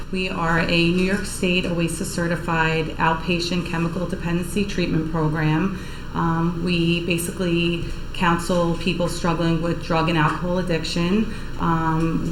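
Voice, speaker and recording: A woman with a lowish, flat voice talks matter-of-factly with frequent ums. Her words ring in an echoey room. Clear background noise sits under everything she says. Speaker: program director at a treatment clinic. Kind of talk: informative panel talk.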